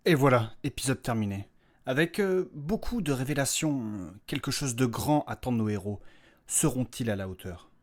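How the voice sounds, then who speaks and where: A man's voice: mid-range voice, animated, normal speed. A man hosting an audio fiction series, giving a scripted outro narration as its creator.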